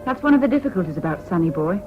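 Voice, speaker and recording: An actress playing a frank, bossy but affectionate young British woman in a radio drama, brisk and teasing. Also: medium pitch, big pitch swings, natural voice. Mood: wistful, candid. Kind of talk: BBC radio drama acting. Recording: dull lo-fi old radio broadcast, hissy.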